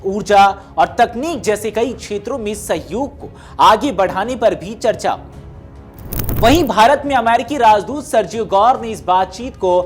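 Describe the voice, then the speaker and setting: A woman reading scripted news narration in a formal, measured newsreader delivery. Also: mid-range voice, animated. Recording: music behind the voice. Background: background music.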